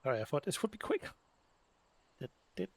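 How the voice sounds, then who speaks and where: A man giving casual let's play commentary, unhurried and half talking to himself as he plays. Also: a little high, huge pitch swings, gravelly, dry recording. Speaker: gaming streamer.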